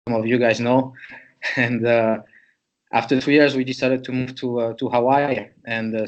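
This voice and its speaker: A man's voice: medium pitch, dull audio. A man from Switzerland, a chiropractor, presents a patient case to students in a loose, conversational way, thinking aloud as he goes.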